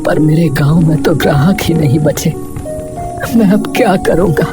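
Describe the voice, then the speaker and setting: A man playing a poor, humble cobbler in a cartoon story, mournful and pleading with halting speech. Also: a little high, music in the background, close to an everyday voice. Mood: sad, humble.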